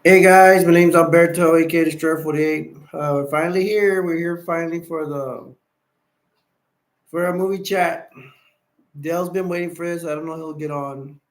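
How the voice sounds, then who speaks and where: A man's voice: on the high side, fairly even intonation, moderate pace. Sleepy, rambling man in an echoey room. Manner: rambling, sleepy, chatty with viewer shout-outs. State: tired and sleepy. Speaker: live stream host. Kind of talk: casual late-night live stream chat.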